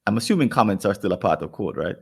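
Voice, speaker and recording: A man with a Jamaican accent and a very low, gravelly voice, chatting on a podcast. He rambles and doubles back on his words as he works out a point. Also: animated, fast talker. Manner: rambling, repeats words while thinking, acts out quoted people. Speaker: podcast co-host. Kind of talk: casual podcast conversation.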